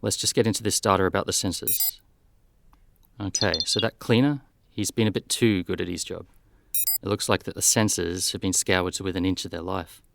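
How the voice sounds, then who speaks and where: An actor playing a brisk, matter-of-fact male detective in a scripted audio drama, businesslike and plain-spoken. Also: low voice, fairly even intonation, creaky, a bit bright, slight background noise. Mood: analytical.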